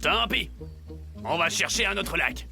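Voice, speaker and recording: Crafty cartoon animal: raised gravelly voice, expressive, music underneath. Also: male voice, a little high, measured pace, full character voice. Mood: scheming. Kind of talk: animated series dubbing. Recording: music behind.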